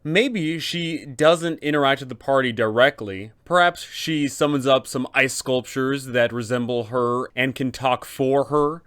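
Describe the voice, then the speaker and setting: A man with a clean, smooth voice at a middle pitch presents advice for tabletop game masters. His delivery is scripted and emphatic, built on deliberate phrases and rhetorical questions. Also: a bit bright. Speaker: host, game master advisor. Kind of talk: scripted explainer on running a tabletop campaign.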